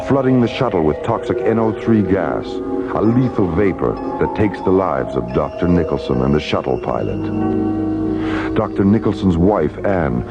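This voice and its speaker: An actor playing a grave documentary narrator of a space tale, portentous and measured, in scripted radio drama. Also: male voice, low voice, gravelly, heavily acted. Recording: dull radio recording, loud music.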